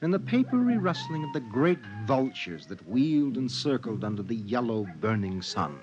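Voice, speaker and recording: A man's voice: mid-range voice, big pitch swings, moderate pace, lo-fi sound, lightly acted. A man acting as the narrator of a science fiction tale in solemn, theatrical 1950s radio style. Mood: ominous. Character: dramatic, vivid storyteller of a science fiction tale. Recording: vintage radio broadcast with music behind.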